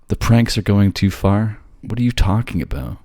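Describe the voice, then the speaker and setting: A man's voice: lowish voice, lively intonation, a little slow, darkish tone, no room sound, clean studio sound. A man playing a bored, sardonic ghost who haunts a library, snarky and conversational in an ASMR audio roleplay. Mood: defensive, teasing. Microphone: close, dry microphone.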